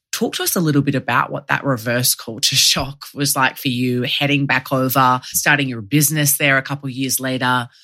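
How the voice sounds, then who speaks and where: A woman's voice: extremely low pitch, a bit bright, no echo, studio quality. A woman hosting a podcast, talking in a casual, enthusiastic and gushing way from her apartment. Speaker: podcast host.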